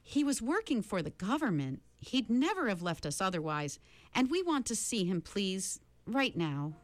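Storybook teen girl, impatient and brave, raised, lively pitch, as a woman narrator puts her on. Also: normal speed, natural voice. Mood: demanding. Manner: urgent, demanding.

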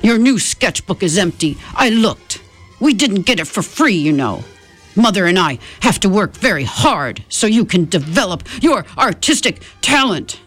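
A woman voicing a blustering middle-aged father who shouts and lectures. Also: mid-range voice, very animated, a little slow, husky, soft background music, voice pushed up, full character voice. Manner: bellowing, lecturing.

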